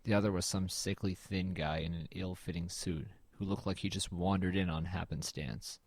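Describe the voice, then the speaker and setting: A man's voice: low-pitched, fairly even intonation, normal speed, darkish tone, no echo. A man playing a brooding, melancholy artist who narrates an audio drama in a reflective, understated, slightly hesitant way. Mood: subdued, reflective.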